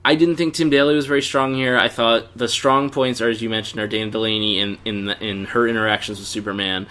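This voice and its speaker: A man's voice: on the low side, fairly even intonation, fast talker, sharp tone. A man co-hosting a podcast, giving opinionated critique in a casual, conversational review style. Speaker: podcast co-host reviewing animated series.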